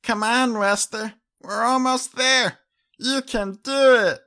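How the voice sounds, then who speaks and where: Little girl pony, high sharp voice, pleading, loyal, desperate, audiobook character. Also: fairly even intonation, slow pace, heavily acted. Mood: urgent encouragement.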